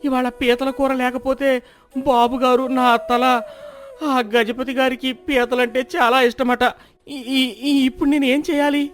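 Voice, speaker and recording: An actor playing a flustered, deferential castle cook, a nervous servant in a moral story cartoon, fretful in manner. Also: male voice, very high voice, level tone, measured pace. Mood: panicked.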